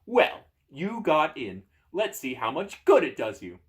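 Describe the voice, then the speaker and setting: A shrill enchanted door from a fantasy storybook, pitched well up high. It is blustering and two-faced, a cowardly, smug thing with a very theatrical delivery. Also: male voice, sing-song, unhurried. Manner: shrieking, begging and gloating theatrically. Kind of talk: fantasy gamebook read aloud.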